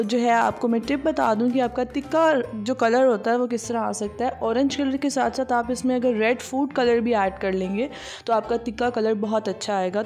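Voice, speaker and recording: A young woman walks through a recipe in an unhurried voice-over. She explains each step patiently, with small fillers, while soft music plays quietly underneath. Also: fairly high pitch. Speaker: cooking channel host. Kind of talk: voice-over cooking instructions. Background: soft background music.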